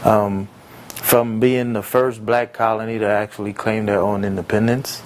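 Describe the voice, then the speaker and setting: A young man born in Haiti and raised in America, answering interview questions in an earnest, reflective way with frequent pauses and fillers. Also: lowish voice, flat delivery, a little hiss or hum. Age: young adult. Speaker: entrepreneur interviewee.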